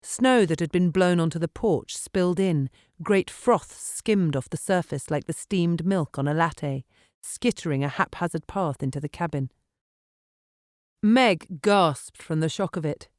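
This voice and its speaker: A woman audiobook narrator reading dramatic fiction in an expressive, measured storytelling manner in a studio. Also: lowish voice, no echo. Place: dry recording studio.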